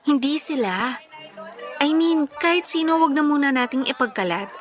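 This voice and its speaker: An actress playing a teasing but sensible young college sweetheart in a radio drama, pert and pressing. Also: female voice, high-pitched, lively intonation, normal speed, soft background music. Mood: earnest, secretive. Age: young adult. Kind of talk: Tagalog radio drama acting.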